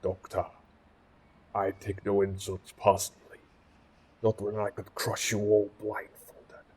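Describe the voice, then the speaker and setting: Menacing alien warrior general, low flat voice, pompous n vengeful, audio drama. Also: male voice, measured pace, breathy edge. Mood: vengeful, proud. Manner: pompous, declamatory, threatening.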